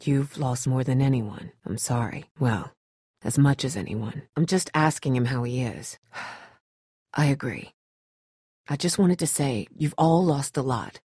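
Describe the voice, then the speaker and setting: A woman's voice: a bass voice, a little slow, dark tone, breathy edge, dry recording, clean studio sound, heavily acted. A woman playing a tough, blunt but caring survivor of an apocalypse in a dramatic video game. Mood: subdued, sympathetic. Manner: blunt, urgent, no-nonsense.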